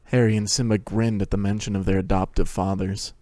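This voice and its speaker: A man reads story narration. His voice is fairly low, and the recording sounds close and dry. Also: level tone, a little fast, warm tone, dry recording.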